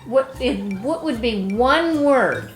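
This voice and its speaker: A woman's voice: high voice, big pitch swings, takes it slowly. A woman conducting a conversational interview as the interviewer. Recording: echoey room, faint music behind.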